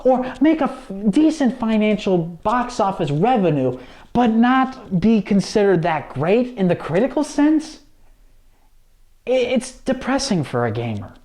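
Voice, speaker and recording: A man speaks off the cuff as a show co-host, earnest and emphatic. His pitch moves widely up and down as he makes his case. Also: a little mellow. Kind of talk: unscripted opinion commentary.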